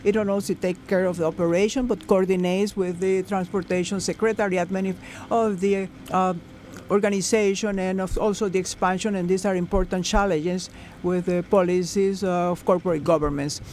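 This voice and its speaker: A man interpreting a conference panel into English live, halting, with many fillers and self-corrections. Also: sits high, steady tone, a little mellow, slight background noise. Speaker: simultaneous interpreter.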